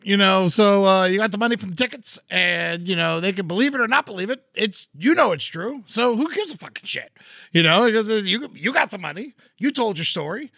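A man with a high, forceful, bright voice that swings widely in pitch. He co-hosts a comedy podcast, trading casual banter in a boisterous, foul-mouthed way. The sound is close and dry. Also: animated, a little fast, gravelly, no echo. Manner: crude, boisterous, swearing. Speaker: podcast co-host.

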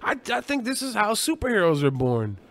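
A man's voice: high voice, wildly swooping pitch, brisk. A man co-hosting a podcast, chatting casually in a jokey, rambling, profane way. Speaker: podcast co-host.

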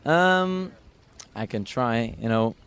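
A young man with a Dutch accent chats as a guest, his voice smooth and resonant, pitched in the middle for a man. He speaks English as a second language, hesitating with fillers and restarts and often laughing at his own remarks. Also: animated, slow pace, a bit bright. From Netherlands. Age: young adult. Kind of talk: casual interview chat.

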